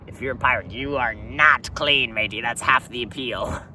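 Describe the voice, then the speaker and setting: A man's voice: mid-range voice, measured pace, noisy background, lightly acted. A man voicing a crude, swaggering, unwashed pirate in a comic monologue, rambling offhand and calling everyone "matey" in pirate slang.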